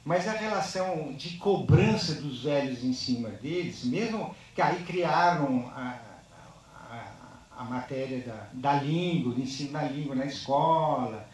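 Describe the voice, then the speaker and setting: A man speaking unscripted on a panel after a film screening. He talks in a halting, reflective way and ends most phrases with a tag question. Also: mid-range voice, animated, a little mellow. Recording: very echoey, distant microphone.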